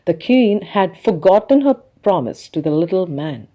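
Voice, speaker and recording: A woman telling a children's bedtime story as an expressive scripted narration. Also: on the low side, normal speed.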